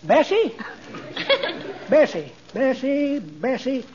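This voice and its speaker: Very high-voiced folksy old codger. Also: male voice, huge pitch swings, extremely slow, heavily acted. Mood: musing, teasing. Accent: American. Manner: folksy rustic drawl, teasing and rambling. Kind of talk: 1940s radio comedy acting. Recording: dull noisy 1940s radio, audience behind.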